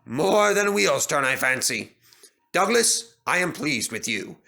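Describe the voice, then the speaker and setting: A man voicing a genial, authoritative gentleman railway director in a children's storybook, measured and stately. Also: on the high side, sing-song, creaky, exaggerated voice. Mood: pleased.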